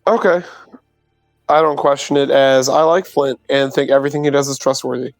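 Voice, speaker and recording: A man's voice: mid-range voice, steady tone, brisk, no room sound, faint music behind. A young man playing in a tabletop game, easygoing and joking. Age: young adult. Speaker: player. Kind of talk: casual tabletop play.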